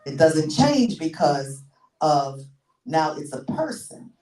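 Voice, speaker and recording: Older lady pastor preaching, low voice, restarts a lot, sounds far from the mic in a big echoey church. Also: big pitch swings, slow pace, dark tone. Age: 60s. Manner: teaching, repeats herself, many restarts. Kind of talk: sermon. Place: church sanctuary.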